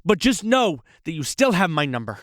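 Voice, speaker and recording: A man acting a remorseful, lovesick ex-boyfriend in an audio drama, speaking in an earnest, halting, pleading way. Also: fairly high pitch, very animated, fairly bright tone, no echo, studio quality. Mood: earnest, hopeful.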